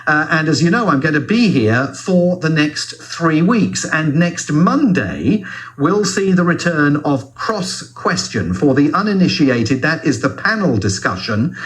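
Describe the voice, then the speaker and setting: A man's voice: fairly high pitch, big pitch swings, unhurried. A man presenting a live radio phone-in, handing over to the news. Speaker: radio talk show presenter. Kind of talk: live phone-in link, reading contact numbers and time checks.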